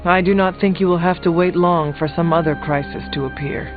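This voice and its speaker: An actor playing a solemn, blunt, ancient resurrected warrior in a video game, speaking formally and calmly. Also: male voice, high-pitched, natural voice. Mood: calm, dry. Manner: formal, measured, few contractions. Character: centuries-old resurrected male warrior, solemn, blunt, calm. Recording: phone-like thin audio, music behind.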